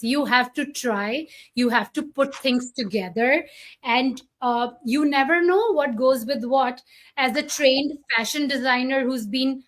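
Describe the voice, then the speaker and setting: A woman in her forties, a fashion designer talking over a video call. She sounds enthusiastic and turns to address viewers directly, in a fairly high voice. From India. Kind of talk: casual chat.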